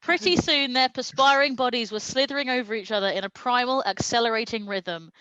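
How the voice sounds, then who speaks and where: A woman's voice: on the high side, brisk, bright, forceful tone, clear, resonant tone, no room sound, highs cut off. A woman doing a comedic reading of fan fiction aloud in a mock-serious manner, prone to breaking into laughter. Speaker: reader at a comedy show.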